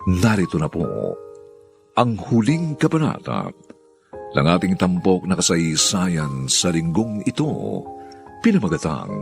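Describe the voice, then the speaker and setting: A man's voice: low-pitched, creaky. A man announcing a radio drama with a formal, solemn delivery. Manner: slow, solemn, sweeping delivery. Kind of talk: formal radio program introduction. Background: music.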